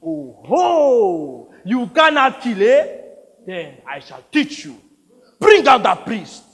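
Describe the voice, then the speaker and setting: A man's voice: sits high, big pitch swings, takes it slowly, a bit bright, voice pushed up, heavily acted. A man playing a savage, gloating African rebel warlord in a stage story, commanding and theatrical, in a reverberant hall. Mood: gloating menace.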